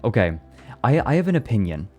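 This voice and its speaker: A man's voice: lowish voice, sing-song, a little fast, darkish tone, soft background music. A young man chatting casually on a live stream, reading and answering his chat and laughing often. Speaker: VTuber streamer.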